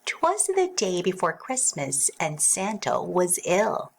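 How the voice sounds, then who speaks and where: A woman reading a rhyming children's storybook aloud as narrator, in an expressive sing-song way. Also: on the low side, slow, creaky.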